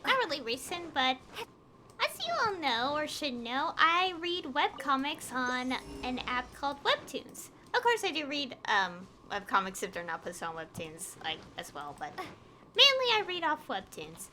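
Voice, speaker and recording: A woman with a high, very lively voice rambles casually to her stream as she plays a game. She halts, restarts and circles back over her phrasing, her pitch swinging widely. There is clear noise behind her voice. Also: unhurried. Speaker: video game livestreamer. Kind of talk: casual rambling chat while gaming.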